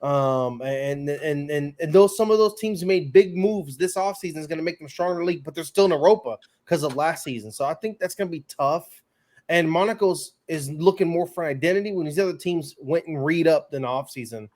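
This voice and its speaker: A man with a moderately high voice talks sports as a podcast guest. His banter is casual and blunt, thick with slang. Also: fairly quick, a little mellow.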